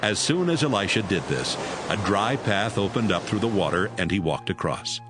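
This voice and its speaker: A man narrates a dramatized scripture audiobook. His low voice moves with plenty of expression. Music is clearly present beneath the reading. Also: very animated, normal speed.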